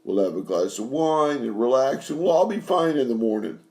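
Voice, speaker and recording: A man voicing a chubby older male manager who wheedles and then yells. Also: mid-range voice, animated, normal speed, pitched above the performer's own voice, lightly acted. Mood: coaxing.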